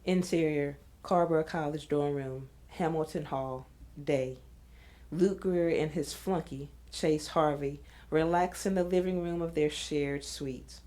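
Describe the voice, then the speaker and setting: A woman's voice: low voice, unhurried, warm tone. A woman reading scene directions as a scripted narration.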